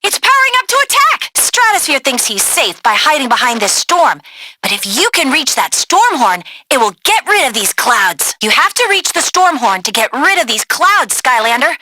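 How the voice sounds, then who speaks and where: A bold young adventurer heroine for a cartoonish video game. Her voice is very high and bright, recorded dry and close. She is bossy and commanding, handing out crisp, urgent directions. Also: big pitch swings, moderate pace, no room sound, exaggerated voice, low-quality recording. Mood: focused, instructive. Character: brave, bossy young female adventurer guiding heroes. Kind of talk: animated game voice acting.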